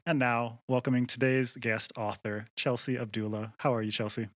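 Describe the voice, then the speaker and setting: A man's voice: mid-range voice, level tone, normal speed, a bit bright, no echo. A male podcast co-host with a mid-range voice runs through plugs and questions a guest. He is friendly and keen, with a few restarts, and his voice sounds close and dry. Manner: friendly, enthusiastic, some stammering restarts. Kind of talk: podcast host reading intro, then interviewing. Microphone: video call.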